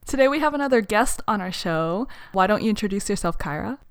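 A woman's voice: medium pitch, expressive, fast talker, clean tone. A young woman co-hosting a podcast, introducing the guest and topic in a friendly, casual way. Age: young adult. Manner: friendly, reacts with short sounds. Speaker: podcast co-host. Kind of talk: casual chat.

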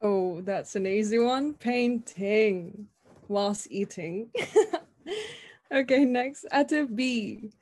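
Young woman from the Philippines, podcast co-host, warm mellow voice, dry close sound. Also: on the high side, drawn-out words, no echo. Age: 20s. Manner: easygoing, soft, laughs lightly. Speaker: podcast co-host, architecture student. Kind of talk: casual podcast introduction.

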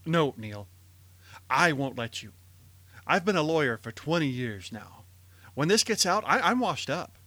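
A man's voice: a little high, very animated, unhurried, long pauses, pushed and bright, no echo, heavily acted. An actor playing a weary, anguished middle-aged male lawyer in an old-time radio drama, stammering with agitation. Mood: agitated.

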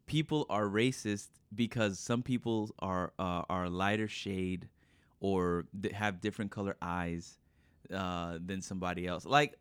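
A man's voice: sits low, steady tone, measured pace, smooth, no room sound. A man from the United States co-hosting a podcast, easygoing and questioning, often laughing as he talks. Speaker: podcast co-host. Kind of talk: casual conversational podcast.

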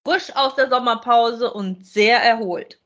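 A woman's voice: fairly high pitch, measured pace, fairly bright tone. A woman, co-hosting a podcast and chatting casually as she gives a review. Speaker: podcast co-host.

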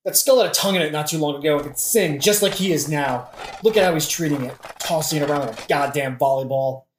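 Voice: mid-range voice, quick pace, close to an everyday voice. A performer playing a brooding, indignant young prince who rants sardonically in a modern comic audio drama. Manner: ranting, sardonic, swearing. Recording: roomy echo, faint noise.

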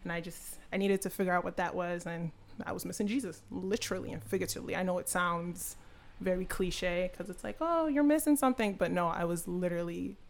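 A woman's voice: lowish voice, brisk, creaky, slight background noise. A woman in her twenties, a podcast guest casually sharing her personal story. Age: 20s.

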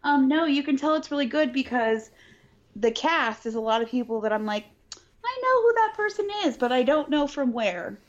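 A woman's voice: high voice, animated, moderate pace, dull audio. A woman co-hosting a podcast in casual, profane, joking chat, with a scratchy, partly lost voice. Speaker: podcast co-host.

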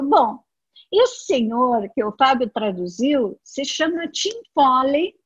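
A Brazilian woman with a high voice and a Brazilian Portuguese accent recounts her story slowly and unhurriedly. She acts out the conversations she remembers, so her storytelling stays lively. The recording is dull and lo-fi. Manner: animated storytelling, acts out quoted dialogue. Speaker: speaker telling her business story. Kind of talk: personal testimony to an audience. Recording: dull lo-fi audio.

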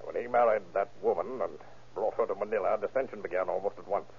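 A stiff, proud major in a vintage radio crime drama. He sounds husky, curt and affronted, speaking with clipped formality. The recording is very thin and tinny. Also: on the low side, quick pace. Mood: curt, defensive. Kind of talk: old-time radio crime drama acting.

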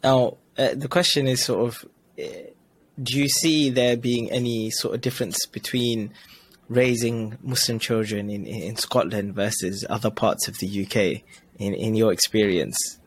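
A man hosting a podcast, talking casually and affirming his guest with quick interjections. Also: fairly low pitch, steady tone, slight background noise. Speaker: podcast host.

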